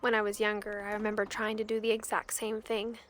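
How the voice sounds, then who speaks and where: A woman's voice: mid-range voice, completely flat delivery, brisk, lightly acted. A woman playing a reflective young woman who narrates her family history in a video game, intimate and understated. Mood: wistful, reflective. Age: young adult. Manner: wistful, understated.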